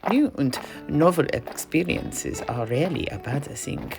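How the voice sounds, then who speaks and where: Man playing a creaky, animated knight. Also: fairly high pitch, measured pace, music in the background, poor audio. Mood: genial. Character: worldly, good-humoured mercenary knight telling his tale. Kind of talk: in-character storytelling in a fantasy audio play.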